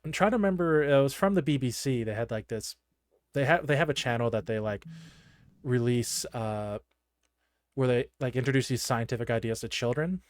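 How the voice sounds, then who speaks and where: A man's voice: medium pitch, moderate pace, dry recording. Chatty man in casual podcast talk, dry sound. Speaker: podcast co-host. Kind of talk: casual discussion of a horror anime episode.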